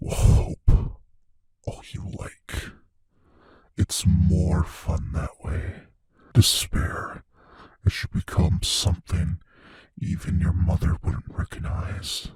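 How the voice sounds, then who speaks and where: Man voicing a husky, deep, sadistic monster. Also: level tone, darkish tone, dry recording, exaggerated voice. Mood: gloating, cruel amusement. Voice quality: breathy, husky whispery voice. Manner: slow, taunting, menacing, savoring words. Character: sadistic male monster from under the bed, gleefully cruel. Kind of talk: intimate ASMR audio roleplay.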